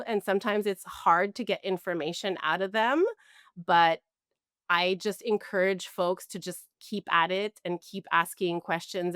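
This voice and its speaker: A woman's voice: on the low side, fairly bright tone, smooth, no echo, clean studio sound. A woman guest on an interview show, talking reflectively with many fillers and restarts. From Canada. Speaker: guest, mental health counselor. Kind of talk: conversational interview.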